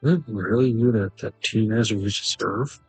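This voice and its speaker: A man streaming a game in a fairly deep, husky voice. He comments casually and mutters asides in a laid-back way, with soft music playing behind him. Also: normal speed, poor audio. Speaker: gaming streamer. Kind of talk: casual game playthrough commentary and reading aloud.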